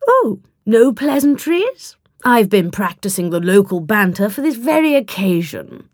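A woman voicing a dapper male alien who talks in affected old-chap gentlemanly banter, condescending, in an audiobook story. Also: mid-range voice, big pitch swings, unhurried, no room sound, clean studio sound. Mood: jaunty.

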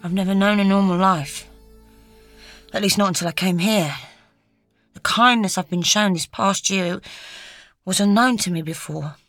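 A woman voicing an anguished, volatile young French man who strains and breaks into shouts. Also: mid-range voice, normal speed, pauses often, no room sound, soft background music, close to an everyday voice. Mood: somber.

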